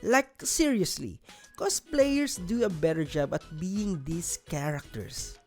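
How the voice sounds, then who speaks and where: A woman's voice: lowish voice, animated, slow pace, darkish tone. A woman narrating scripted countdown commentary in an opinionated, sardonic, emphatic way. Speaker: video narrator. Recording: music bed under voice-over. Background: background music.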